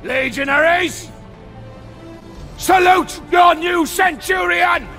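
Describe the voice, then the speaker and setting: Roaring high-pitched Roman centurion. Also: male voice, slow, heavily acted. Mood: rousing, fierce. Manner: forceful battlefield shouting, oratorical. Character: fierce Roman centurion rallying his legionaries. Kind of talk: video game cutscene acting. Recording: music and crowd behind.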